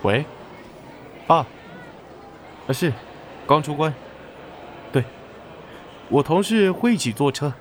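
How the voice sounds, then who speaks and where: An actor playing a controlling, weary and exasperated young ex-boyfriend in an audio drama. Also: male voice, fairly high pitch, lively intonation, slow pace, pauses often, a little hiss or hum. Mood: bossy, weary.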